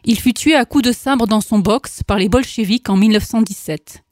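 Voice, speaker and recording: A woman hosting a radio show, reading an article aloud in a measured, careful way with occasional apologetic asides, in a studio. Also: on the high side, darkish tone, no echo.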